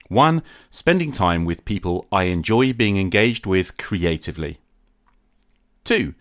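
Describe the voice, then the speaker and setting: A man hosting a podcast, giving a reflective monologue in measured, emphatic phrases with deliberate pauses. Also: low-pitched, no room sound, clean studio sound. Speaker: podcast host.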